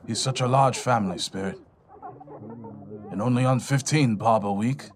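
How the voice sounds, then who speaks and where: An actor playing an excitable, haunted old miser in an audio drama, theatrical and halting, with a husky voice. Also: male voice, mid-range voice, slight background noise, lightly acted. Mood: subdued curiosity. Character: miserly old man, excitable, haunted, humbled before spirits.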